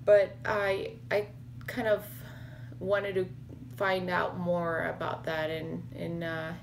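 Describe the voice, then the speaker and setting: Slow, gravelly-voiced woman vlogging at home. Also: fairly low pitch, little pitch movement, slight background noise. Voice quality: raspy. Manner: hesitant, many fillers and restarts. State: slightly hoarse from allergies. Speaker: health vlogger. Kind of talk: casual talking-to-camera vlog. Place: small home office with bookshelves, indoors. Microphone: webcam or laptop camera at desk.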